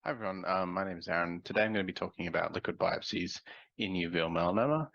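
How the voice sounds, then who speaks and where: A man presenting a scientific conference talk, sounding nasal from a cold, explaining in a measured way with frequent ums. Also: sits low, vocal fry, fairly bright tone, highs cut off.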